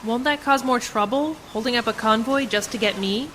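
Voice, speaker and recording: A woman's voice: a little high, normal speed, a bit bright, natural voice. A woman playing a defiant, bitter prisoner in a sci-fi radio play, wary and pointed in her questioning. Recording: noisy community radio drama recording.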